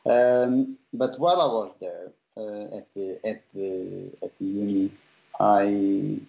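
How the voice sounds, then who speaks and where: A young Portuguese man with a gravelly, fry-edged voice speaks slowly in a fairly low register, halting often as he works through his English. He sounds like a guest on a casual video-call interview. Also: steady tone, warm tone, low-quality recording. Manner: halting, many fillers and restarts. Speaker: guest, visual effects compositor.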